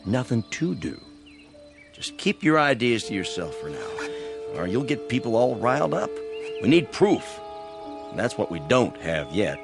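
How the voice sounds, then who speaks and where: A man's voice: medium pitch, sing-song, unhurried, creaky, music in the background. A man playing a wary, cautious small-town doctor with an American accent in a radio drama. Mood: guarded.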